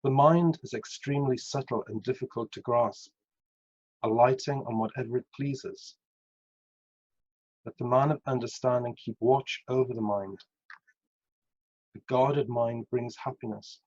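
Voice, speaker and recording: A man reading scripture aloud in a measured way. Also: mid-range voice, steady tone, darkish tone. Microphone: video call over Zoom. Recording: dull, lo-fi video call.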